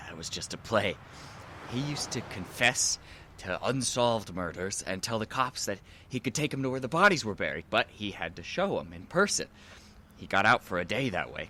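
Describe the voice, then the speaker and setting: A pushy, down-and-out convict's son in an audio drama. His voice is lowish yet hard-edged and forceful, casual and streetwise with menace underneath. Faint noise sits behind him. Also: very animated, normal speed. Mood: defensive, insistent.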